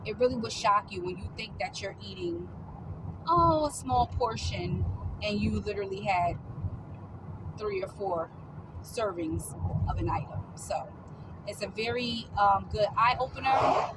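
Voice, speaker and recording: A woman's voice: mid-range voice, measured pace, noisy background. Chatty woman in her forties vlogging. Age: 40s. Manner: chatty, upbeat, talks straight to viewers. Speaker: fitness vlogger. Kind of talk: casual vlog chat. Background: loud music.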